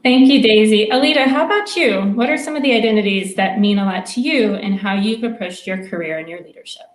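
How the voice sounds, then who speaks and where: A woman moderating a panel over a video call, leading the talk in a measured, clear way. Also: mid-range voice, crowd noise. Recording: echoey room sound.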